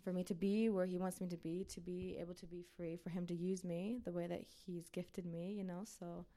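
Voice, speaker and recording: A woman's voice: fairly low pitch, level tone, a little fast, warm tone. A woman from Uzbekistan, a missionary, talking earnestly and casually to a church group with many fillers. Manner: earnest, chatty, fillers like "you know".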